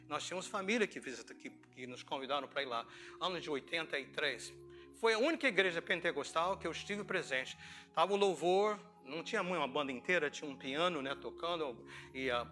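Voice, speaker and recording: Brazilian male pastor, conversational sermon storyteller, bright and forceful delivery, faint music underneath. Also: on the high side. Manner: conversational storytelling, acting out dialogue, asking rhetorical questions. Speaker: pastor preaching. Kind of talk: informal sermon with personal anecdotes.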